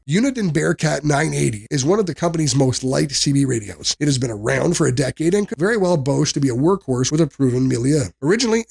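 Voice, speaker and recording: A man reading a scripted review voice-over in a measured, explanatory way as a product narrator. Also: mid-range voice, vocal fry, darkish tone, breathy edge.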